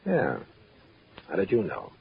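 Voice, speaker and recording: A man's voice: low-pitched, huge pitch swings, a little slow, full character voice. An actor playing a guarded, businesslike married man of means, curt and stiff, in an old radio drama on a muffled, noisy recording. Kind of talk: mid-century radio drama acting.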